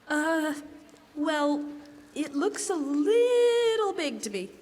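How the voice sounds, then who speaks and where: A woman's voice: high-pitched, sing-song, slow, darkish tone, full character voice. A young woman playing a sweet, polite, bewildered teenage girl, earnest and theatrical in a classic-style radio play. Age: teens. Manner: wide-eyed, earnest, theatrical. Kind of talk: live stage radio drama in classic style. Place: stage in a hall, live performance. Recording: echoey stage hall recording.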